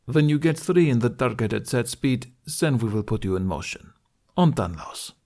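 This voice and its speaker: A man voicing a stern, assured horseman mentor in an audiobook, giving deliberate, clipped instructions in formal phrasing. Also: medium pitch, lively intonation, darkish tone, lightly acted. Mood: approving. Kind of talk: audiobook character acting.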